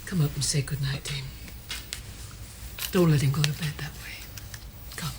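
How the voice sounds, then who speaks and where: Over clear noise and clicks, a woman plays a devoted older wife in a drama. Her pitch moves widely as she gently coaxes and pleads, anxious to keep the peace. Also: animated, a little fast, close to an everyday voice. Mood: pleading. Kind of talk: stage-drama acting. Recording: clearly noisy recording with clicks.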